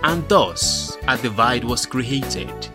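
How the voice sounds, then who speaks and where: A man acting as the solemn storyteller of a religious radio drama, measured, grand and declamatory. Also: medium pitch, expressive. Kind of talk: scripted radio drama narration. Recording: music clearly behind the voice.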